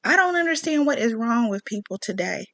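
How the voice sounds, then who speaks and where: A woman's voice: on the high side, very animated, fairly quick, fairly bright tone, no room sound. A woman with a Southern American accent in a casual personal rant, speaking emphatically and repeating phrases for stress. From southern United States. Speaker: online commentary host.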